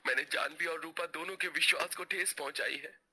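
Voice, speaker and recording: A man's voice: on the high side, fairly even intonation, a little fast, sharp tone, breathy edge, full character voice. A man playing an earnest, guilt-ridden young husband in a dramatic cartoon story. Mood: remorseful.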